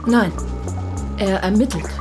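A woman's voice: mid-range voice, slow pace, music in the background, lightly acted. A woman playing a commanding, sceptical police chief with a terse questioning manner in a radio play. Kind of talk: naturalistic German radio play acting.

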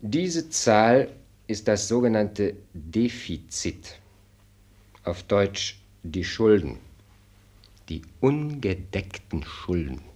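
An actor playing a dry, blunt minister of state in a German radio play, speaking formally and pointedly with an ironic, lecturing air. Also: male voice, on the low side, big pitch swings, very slow, a little mellow, heavily acted.

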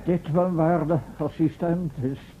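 An actor playing a pompous, domineering, eccentric professor in a radio play, fussy and commanding. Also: male voice, fairly high pitch, steady tone, slow pace. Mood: brisk, grandiose. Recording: dull old radio recording, faint noise.

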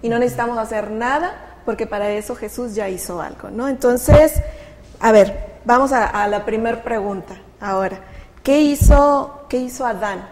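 A woman's voice: a little high, normal speed, darkish tone, in a large hall. A woman hosting a Bible study talk show, leading the discussion in a friendly way with frequent fillers. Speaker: host of a Bible study program. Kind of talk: casual religious study chat.

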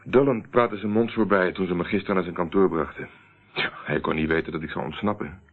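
An actor playing a cool, insistent investigator in a Dutch radio play, curt and in control. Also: male voice, sits low, fairly even intonation, brisk. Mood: calm, grim. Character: cool, commanding male investigator. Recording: muffled, tinny old radio recording.

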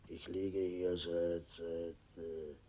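Groggy drunken old coachman, very slow drawl. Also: sits low, level tone, mellow. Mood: drunk, befuddled. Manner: slurred, halting, groggy.

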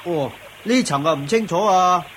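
High-voiced, eager ascetic disciple. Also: male voice, expressive, moderate pace, a bit bright, slight background noise. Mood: deferential. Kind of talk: Cantonese Buddhist radio drama acting.

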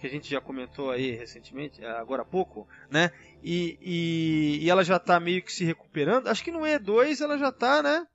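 A man's voice: on the high side, expressive, normal speed, few pauses, a bit bright, muffled recording, soft background music. A man with a Brazilian accent in a casual fan discussion, explaining things in an enthusiastic, rambling way as a podcast panelist.

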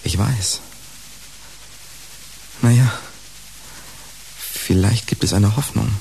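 Radio play part: hesitant, awkward man, drawn-out phrasing, very unhurried, slightly hissy sound. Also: lowish voice, gravelly, dark tone, rough recording. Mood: resigned. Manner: halting, drawn-out. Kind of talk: naturalistic German radio play acting.

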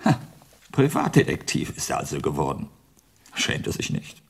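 An actor playing a crotchety elderly retired judge in a German radio play, sardonic and halting, with old-fashioned turns of phrase. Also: male voice, a bass voice, very animated, full character voice. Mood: mocking. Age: older. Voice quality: gravelly, husky. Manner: halting, addresses listener archaically in third person.

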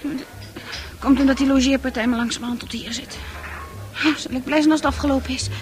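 A woman's voice: high-pitched, steady tone, fast talker, faint music behind, natural voice. An actress in a Dutch radio play playing a candid, troubled young woman who talks freely and confides with emphasis. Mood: earnest.

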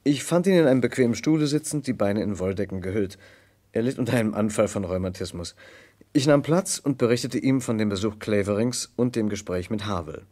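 An actor playing an earnest, courteous gentleman who narrates and assists a detective in an old German radio crime drama, with formal, precise diction. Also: on the low side, lively intonation, a little fast, close to an everyday voice. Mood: composed narration.

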